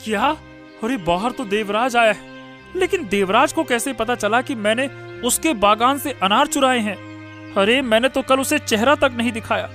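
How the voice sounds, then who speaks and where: A cowardly, lazy village thief in a cartoon tale. The voice is extremely high for a man, sharp and forceful, with a flustered habit of repeating words. Faint music plays underneath. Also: normal speed. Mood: alarmed. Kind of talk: Hindi cartoon moral-story voice acting.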